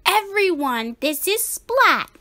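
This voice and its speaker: A woman's voice: extremely high pitch, sing-song, slow, sharp tone, pitched above the performer's own voice, full character voice. A woman voicing a big, round female cat schoolteacher in a storybook, emphatic and cheerfully authoritative. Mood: cheerful, proud. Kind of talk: storybook read-aloud character.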